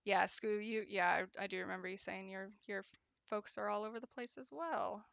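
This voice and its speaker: Young woman, craft streamer, casual chat, bright, gravelly, dry close sound. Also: medium pitch, steady tone, normal speed, no echo, highs cut off. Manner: chatty, laughs often, trails off into restarts. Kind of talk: casual chat while crocheting and reading chat.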